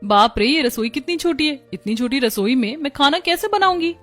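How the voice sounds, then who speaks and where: A woman's voice: sits high, animated, a little fast, fairly bright tone, soft background music. A woman playing polite, homely young Indian daughters-in-law in a cartoon family story. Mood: dismayed.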